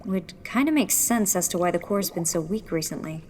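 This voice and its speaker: A woman's voice: lowish voice, expressive, fairly quick, slight background noise, close to an everyday voice. Woman playing a determined rebel engineer. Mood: focused. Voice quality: earnest, takes charge, explains plans. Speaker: rebel leader. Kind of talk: sci-fi audio drama acting. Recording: loud music over the voice.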